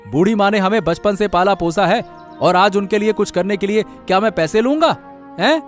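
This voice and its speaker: A man acts a loyal villager in a cartoon, protective and emphatic. His voice is quite high, with faint music behind it. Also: normal speed, close to an everyday voice. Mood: indignant. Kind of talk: Hindi cartoon story voice acting.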